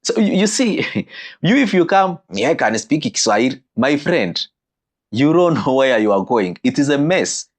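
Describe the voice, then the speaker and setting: Guy teaching about marriage, medium-pitched voice, keeps leaping up high then slowing right down to stress a point. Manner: animated, with sudden pitch leaps and slowed emphatic phrases.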